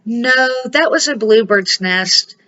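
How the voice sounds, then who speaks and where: A woman's voice: on the high side, animated, takes it slowly, sharp tone, dull audio, exaggerated voice. A woman voicing a sweet, caring young girl bear cub in a storybook. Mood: curious.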